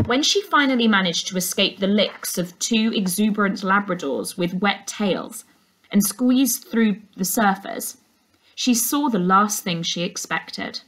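A young woman reading a children's novel aloud as a teacher, in an expressive storytelling style. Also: mid-range voice, unhurried, poor audio. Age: young adult. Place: furnished indoor room.

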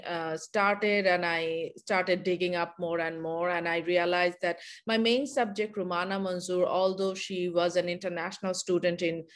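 A woman filmmaker with a Bangladeshi accent, from Bangladesh, answering in a webinar interview over a video call, halting, with many filler sounds. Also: on the low side. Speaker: documentary filmmaker, guest.

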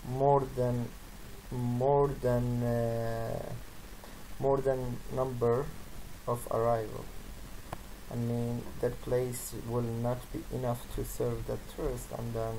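A presenter who is not a native English speaker, reading through a slide presentation in halting, non-native phrasing. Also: mid-range voice, gravelly, noisy background. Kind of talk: slide presentation, read from text with asides.

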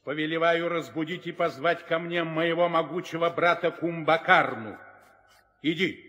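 A man's voice: a little high, normal speed, pushed and bright, dull audio. An actor playing a cruel, commanding demon king in an old radio play, imperious and theatrical. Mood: furious, commanding. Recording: long reverb, old studio drama recording.

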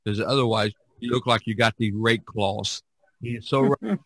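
A man hosting a casual podcast chat, speaking haltingly and often repeating his words. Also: fairly low pitch, steady tone, a bit bright, no room sound. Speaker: podcast host.

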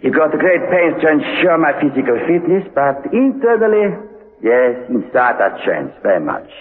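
An actor playing a bitter, resolute prisoner in a radio drama, speaking in a deliberate, theatrical, taunting way. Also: male voice, fairly high pitch, very animated. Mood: bitter, composed. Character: bitter, resolute male prisoner, menacing ringleader, slyly taunting. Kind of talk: 1960s-spy radio drama acting. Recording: muffled, lo-fi radio recording.

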